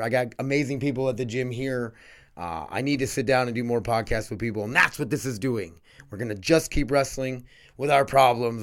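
Rambling casual male podcast host. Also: mid-range voice. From North Dakota.

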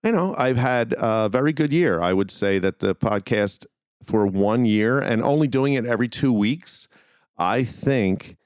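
A man's voice: sits low, a little mellow, clean tone, no room sound, studio quality. A man hosting a podcast, talking casually and informally to his listeners in a rambling, conversational way. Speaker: podcast host. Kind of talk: casual solo talk to listeners.

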